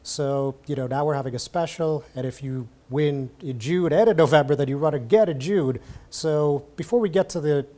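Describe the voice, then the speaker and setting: A man's voice: a little high, normal speed, few pauses, dark tone. A man hosting a local political talk show, chatting casually with lots of fillers. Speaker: TV news talk show host.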